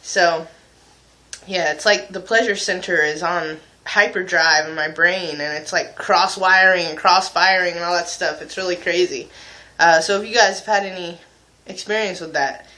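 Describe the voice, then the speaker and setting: A man's voice: sits high, steady tone, moderate pace, bright, forceful tone, poor audio. A young adult man talking casually and candidly to viewers as a video blogger, in an informal, self-mocking way. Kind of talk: casual confessional chat to viewers.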